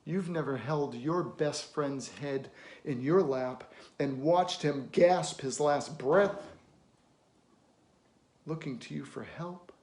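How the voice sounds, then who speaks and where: A man performing a dramatic film monologue as a weary, blunt middle-aged therapist, deliberate, with weighty pauses and emphatic bursts. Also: a little high, a little mellow. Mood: tender, grave. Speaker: actor.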